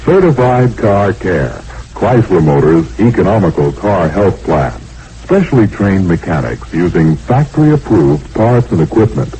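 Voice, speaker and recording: An actor playing the earnest straight man and polished pitchman in a 1960s radio car-service advertisement. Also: sing-song, unhurried, dark tone, rough recording. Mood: polished selling. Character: male car-service customer turned commercial pitchman. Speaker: commercial straight man and announcer. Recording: vintage radio recording, faint noise.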